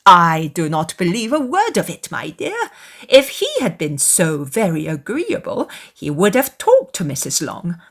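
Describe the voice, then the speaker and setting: A woman voicing a fussy, excitable middle-aged mother in a dramatized classic novel, emphatic and full of exclamation and indignant gossip. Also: fairly low pitch, a little slow, vocal fry, full character voice. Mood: scornful, gossipy.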